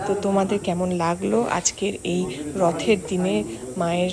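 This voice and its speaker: A woman's voice: fairly low pitch, little pitch movement, moderate pace, a crowd in the background. A woman with a West Bengali accent hosting a casual vlog, talking directly and conversationally to her viewers. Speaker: vlog host. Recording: noisy on-location recording with loud ambience.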